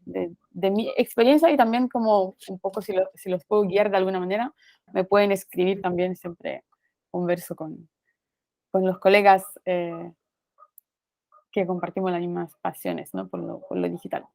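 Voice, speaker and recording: A woman with a Romanian accent gives a guest lecture to university students over a video call. She talks at an ordinary pitch, often pausing on "eh" and checking in with "¿no?". The sound is dry and close, with no room around it. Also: mellow, no room sound. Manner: frequent "eh" fillers and "¿no?" tag questions. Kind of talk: online university class talk.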